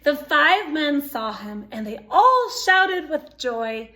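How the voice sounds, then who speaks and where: Lady doing storytime for little kids, really high voice, slow, expressive, chats and asks questions as she reads. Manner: expressive, childlike exclamations and questions to listeners. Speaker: storytime reader. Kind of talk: reading a picture book aloud to young children with asides and questions.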